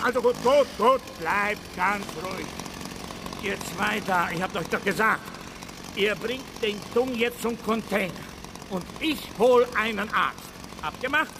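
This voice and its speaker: A man's voice: extremely high pitch, noisy background. A male actor in a German radio drama playing a bullying, panicky forest foreman who barks orders in coarse, impatient slang. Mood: frantic. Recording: loud sound effects and music behind.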